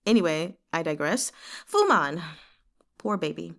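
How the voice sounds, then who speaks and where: A woman's voice: fairly low pitch, unhurried, vocal fry. A woman hosting a book read-along, talking casually and expressively and drifting into asides. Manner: expressive, digressive, giggly asides. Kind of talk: casual chatty book discussion.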